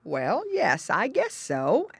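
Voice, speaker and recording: A woman's voice: mid-range voice, huge pitch swings, slow pace, vocal fry, breathy edge, no room sound, voice pushed up, full character voice. A woman voicing a practical, plain-spoken male pig farmer in a children's storybook, folksy and emphatic. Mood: reassuring.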